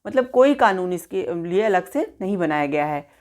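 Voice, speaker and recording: A woman's voice: fairly low pitch, expressive, normal speed, a little mellow. A woman gives an explanatory legal lecture in informal spoken language, teaching in a didactic way, often posing questions and answering them. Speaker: law teacher presenting an online lesson.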